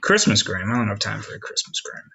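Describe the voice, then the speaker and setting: A man playing a gruff, cynical businessman father in a comedic script read, brusque and sarcastic, with a husky voice. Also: on the low side, sing-song, fast talker, bright, forceful tone, exaggerated voice. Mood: irritated, brusque.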